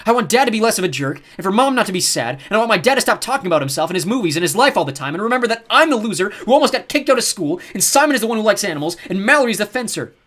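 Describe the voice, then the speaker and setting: A man voicing a frightened but defiant boy who talks shakily and shouts back. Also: high-pitched, very animated, fast, pitched above the performer's own voice, exaggerated voice. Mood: upset. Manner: shaky, pleading and shouting. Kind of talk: audiobook character voice.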